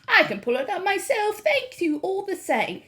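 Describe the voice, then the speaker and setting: A woman voicing a calm, loving elderly grandmother who speaks steadily and warmly. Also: extremely high pitch, normal speed, voice pushed up, full character voice. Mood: calmly polite. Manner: cool, steady, affectionate.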